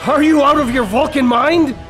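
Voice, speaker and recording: A man playing a cheeky, excitable mock-heroic knight in a hammy audio farce. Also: very high-pitched, moderate pace, faint music behind. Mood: cheeky bravado. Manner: hammy, parodic. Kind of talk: exaggerated farce audio play.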